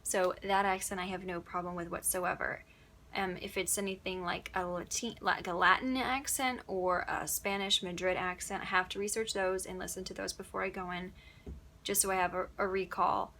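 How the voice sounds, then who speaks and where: A woman's voice: lowish voice, steady tone, normal speed, pushed and bright, slight background noise. A young woman from upstate New York, an audiobook narrator, chatting through Q&A answers with plenty of ums and little laughs. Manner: chatty, giggly, many ums. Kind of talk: casual Q&A answers.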